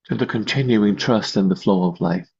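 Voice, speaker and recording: Low husky man guiding meditation. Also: darkish tone. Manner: measured, short phrases with long pauses. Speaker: meditation guide, host. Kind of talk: guided meditation, slow calm instruction. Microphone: video call. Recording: dull lo-fi video call audio.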